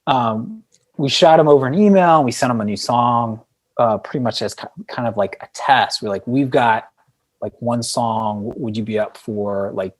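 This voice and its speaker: A man with a mid-pitched voice chats as a guest on a podcast. He tells stories about band life in a loose, rambling, conversational way, peppering his sentences with small filler phrases like "you know". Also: normal speed. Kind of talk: casual conversational storytelling.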